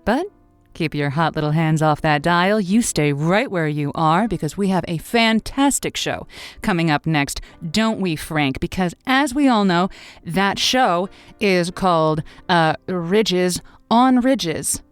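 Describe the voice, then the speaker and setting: A woman playing a chatty, easily flustered lonely-hearts radio host in an audio comedy, halting and informal with repeated words. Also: on the low side, animated, clean tone, no echo, soft background music. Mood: chatty, signing off. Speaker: radio call-in show host. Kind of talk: audio comedy drama, casual radio hosting.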